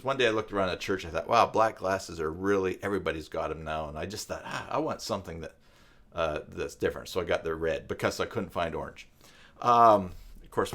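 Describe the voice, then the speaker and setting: A man hosts a casual live stream. His voice sits fairly low. He talks to viewers in a rambling, halting way, thinking aloud as he goes. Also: fairly bright tone. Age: 50s. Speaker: live stream host, web tutorial presenter.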